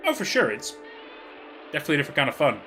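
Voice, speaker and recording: Male livestream host, bright and very animated, casual playful reactions, music faintly behind. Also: fairly high pitch, racing. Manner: playful, joking asides.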